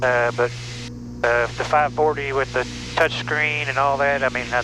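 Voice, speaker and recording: A man's voice: mid-range voice, steady tone, normal speed. An American man, a student pilot, chatting casually over a headset intercom from the back of a small plane. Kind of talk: casual chat. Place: back seat of small airplane. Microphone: aviation headset mic. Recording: loud aircraft hum, headset intercom.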